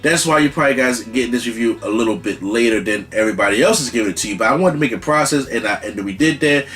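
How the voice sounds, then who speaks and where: A man hosting his own channel, giving an excited spoken movie review with lots of restarts and emphatic repetition. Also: mid-range voice, fast talker, faint music behind.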